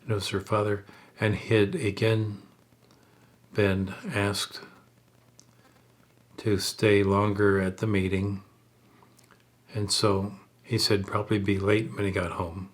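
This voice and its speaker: A man telling a story aloud in a halting, measured way, unpolished and in short phrases. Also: on the low side, flat delivery.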